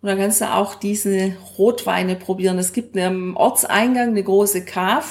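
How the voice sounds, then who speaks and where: A woman's voice: medium pitch, steady tone, a little slow. A woman co-hosting a podcast, chatting casually and colloquially about travel. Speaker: podcast co-host. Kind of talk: casual conversational travel talk.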